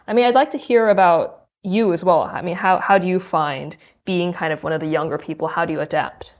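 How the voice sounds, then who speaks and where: A woman's voice: fairly low pitch, fairly quick, dull audio. A young adult woman from Hong Kong, a podcast guest answering questions thoughtfully and with some hesitation. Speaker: podcast guest, law student. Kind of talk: casual interview chat.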